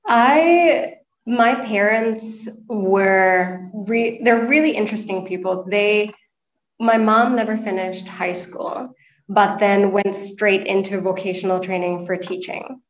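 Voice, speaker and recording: A woman's voice: medium pitch, slow pace. Female interview guest, late 20s, from Sri Lanka, conversational, recorded in an echoey space with dull audio. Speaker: podcast guest, nonprofit and social enterprise manager.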